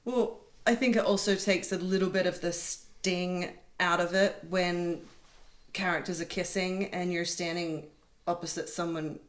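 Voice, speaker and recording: A woman's voice: fairly low pitch, fairly even intonation, a little slow. A woman chatting casually as a producer in a round-table conversation. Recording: echoey room.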